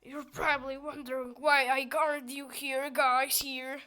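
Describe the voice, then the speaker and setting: A woman's voice: high-pitched, level tone, a little slow, sharp tone. A young woman with a youthful voice, chatting goofily while she plays a game and reads its lines aloud. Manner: chatty and goofy, with squealing outbursts. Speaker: gameplay streamer. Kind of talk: casual gameplay commentary, reading game dialogue aloud.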